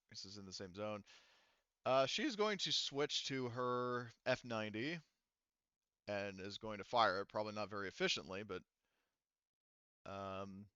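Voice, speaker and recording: A man's voice: fairly low pitch, unhurried, sharp tone, clear, resonant tone, dry recording, lo-fi sound. A man working as game master, improvising narration and rulings for a tabletop roleplaying game in a casual, profane way.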